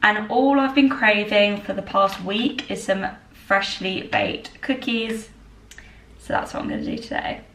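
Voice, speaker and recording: Tired, croaky-voiced young woman. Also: mid-range voice, a little slow, fairly bright tone, a little hiss or hum. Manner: bubbly and chatty, talking while baking. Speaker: vlogger. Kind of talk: casual chat. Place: home kitchen, indoors. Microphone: far camera. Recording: echoey kitchen from far off.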